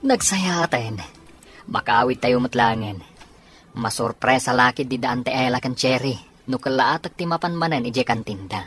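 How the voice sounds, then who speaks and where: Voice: mid-range voice, animated, normal speed, a bit bright, faint music behind, lightly acted. An actor with an Ilocano accent playing a male soldier, a supportive buddy, in a radio drama. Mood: eager.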